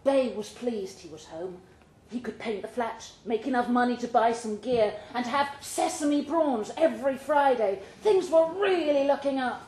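A woman reading fiction aloud at a live event in an expressive storytelling style. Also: on the high side, measured pace. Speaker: short story reader at a live literary event. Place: indoor pub event room. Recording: roomy pub recording with faint noise.

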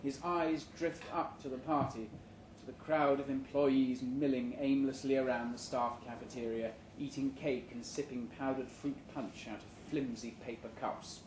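A man reading a first-person short story aloud at a live event in a measured, dry manner. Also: mid-range voice. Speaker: story reader. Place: indoor live reading event before an audience. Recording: roomy live venue, background noise.

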